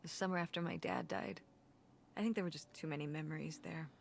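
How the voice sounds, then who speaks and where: A practical middle-aged mum in a narrative video game, with a slightly low voice. She is understated, dry and a little wistful, over faint background noise. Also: brisk, creaky. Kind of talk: naturalistic video game voice acting.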